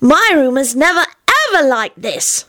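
A bright-spirited, precocious small boy from a storybook, acted by a woman reader. The voice is very high and bounces around in pitch, with an earnest, knowing manner and childish boldness. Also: lively intonation, unhurried, full character voice. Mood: happy, curious. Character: cheerful, precocious, bold little boy.

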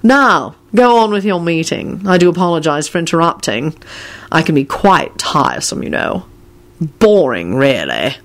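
A woman voicing a stern, sarcastic man with a slow, drawling, cuttingly polite delivery in a story reading. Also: mid-range voice, expressive, lightly acted. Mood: scathing.

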